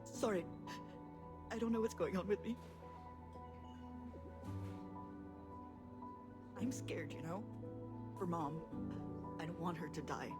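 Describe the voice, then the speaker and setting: A woman's voice: lowish voice, animated, moderate pace, loud music behind the voice, natural voice. A woman playing a vulnerable but blunt younger sister in a naturalistic video game drama, speaking candidly. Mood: scared, tearful.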